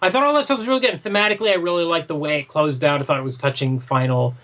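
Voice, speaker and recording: A man's voice: a little high, racing, fairly bright tone, lo-fi sound. A man co-hosting a podcast in casual discussion, giving his review. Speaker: podcast co-host reviewing comics.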